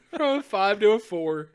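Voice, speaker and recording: A young woman in relaxed podcast banter who giggles and second-guesses herself. She talks at an unhurried pace, and her pitch rises and falls a lot. Her voice sits in a middle range. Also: clean tone. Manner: giggly, self-questioning banter. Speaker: podcast co-host. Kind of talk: casual chat.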